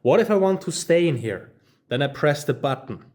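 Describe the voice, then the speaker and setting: A man's voice: on the high side, expressive, normal speed, a little mellow. A German-accented man in his thirties, a native German speaker, giving an enthusiastic, casual hands-on tutorial, filmed on a handheld phone while walking around his office. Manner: enthusiastic, casual, addresses viewers as guys. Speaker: smart home trainer. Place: office studio room, indoors. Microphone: phone camera held while walking.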